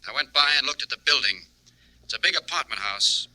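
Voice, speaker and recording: An actor playing a businesslike federal narcotics agent in a 1940s radio crime drama, reporting matter-of-factly. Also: male voice, mid-range voice, animated, brisk, sharp tone, no echo, low-quality recording.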